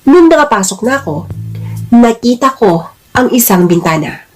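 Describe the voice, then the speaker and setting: High-voiced woman narrating a dramatic story. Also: sing-song, moderate pace, a little mellow. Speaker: narrator of a listener's letter drama. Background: music.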